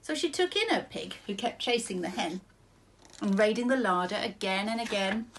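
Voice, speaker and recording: A woman's voice: mid-range voice, animated, normal speed, a bit bright, slight background noise. A woman, reading a children's story aloud expressively, like a primary school teacher. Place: small furnished room at home.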